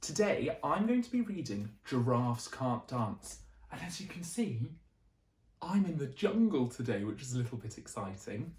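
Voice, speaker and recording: A woman reading a children's story aloud in an expressive, deliberate storytelling style. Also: extremely low pitch. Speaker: teacher reading a bedtime story. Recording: echoey room.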